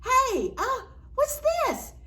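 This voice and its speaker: A woman storyteller reading a picture book aloud to young children in a playful, dramatic, expressive way. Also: very high-pitched, extremely slow. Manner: animated, playful, dramatic reading with gasps and exclamations; addresses young children with simple questions.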